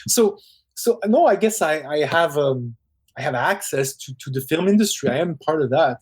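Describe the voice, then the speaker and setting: A middle-aged man with a Parisian French accent, a film director chatting in an interview, animated and quick, often restarting his sentences. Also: a little high, breathy edge. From Quebec, Canada. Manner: animated storyteller, quick bursts, frequent restarts. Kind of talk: casual interview chat.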